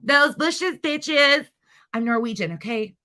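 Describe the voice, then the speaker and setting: A woman's voice: sits high, lively intonation, slow, sharp tone. A woman chatting casually as a livestream host, informally hopping between replies to viewers. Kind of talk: casual live chat, reading and answering viewer comments.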